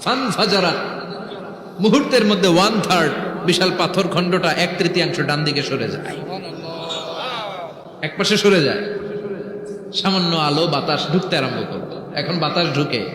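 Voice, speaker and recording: A man's voice: a little high, normal speed, pauses often, a crowd in the background. A man preaching a dramatic storytelling sermon, acting out dialogue, repeating phrases and calling on listeners to respond. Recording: echoey hall with long reverb.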